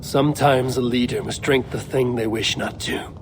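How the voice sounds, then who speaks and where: A man's voice: mid-range voice, steady tone, normal speed, noisy background, lightly acted. Man acting a grave, steadfast Viking warrior. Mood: grave counsel. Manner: grave, plain-spoken. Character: steadfast, honour-bound Viking warrior, counselling and blunt. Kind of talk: dramatic game cutscene acting.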